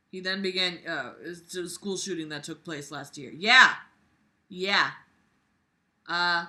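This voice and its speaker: A woman's voice: low voice, sharp tone. A sarcastic, exasperated woman reading a book aloud and mocking it with profane commentary and theatrical emphasis. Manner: theatrical, exaggerated emphasis with sudden outbursts. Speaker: host of a comedic book-reading series.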